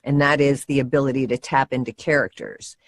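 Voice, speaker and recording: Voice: mid-range voice, steady tone, brisk. A voiceover coach giving casual, earnest advice, leaning on key words for emphasis.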